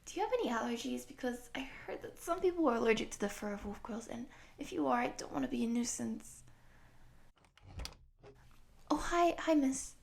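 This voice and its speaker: A woman voicing a friendly, excitable eighteen-year-old wolf girl who rambles from one thing to the next in an audio roleplay for the listener. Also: on the high side, moderate pace, creaky. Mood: eager, friendly. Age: young adult.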